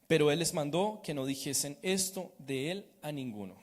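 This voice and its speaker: Guy interpreting the preacher, higher voice, long hall echo. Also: normal speed. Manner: renders each phrase right after the preacher. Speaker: interpreter and scripture reader. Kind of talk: live sermon interpretation and Bible reading.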